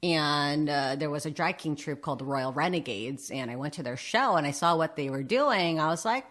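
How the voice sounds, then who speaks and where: A woman's voice: sits low, animated, moderate pace, fairly bright tone. A middle-aged woman telling personal stories casually and candidly as an interview guest, with self-deprecating humour. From United States, Vietnamese immigrant family, arrived aged three. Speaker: interview guest, drag king and public speaker. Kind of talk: animated personal storytelling in casual chat.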